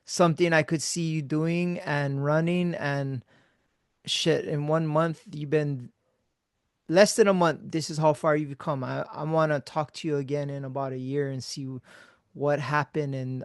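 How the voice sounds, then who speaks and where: A man hosting a podcast, chatting casually with a friend in a relaxed, laid-back way, swearing freely and trailing off into quiet mumbles. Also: a little high, clean tone, no room sound, clean studio sound. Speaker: podcast host.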